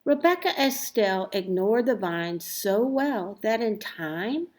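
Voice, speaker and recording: A woman reading a children's story aloud expressively, with deliberate phrasing and emphatic stressed words. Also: mid-range voice, lively intonation. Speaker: storybook reader.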